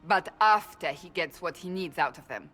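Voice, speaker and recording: A woman's voice: fairly low pitch, normal speed, sharp tone, soft background music. A woman playing a stern, righteous warrior in a video game, blunt, serious and formal in her delivery. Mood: grave, suspicious. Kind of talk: video game character dialogue.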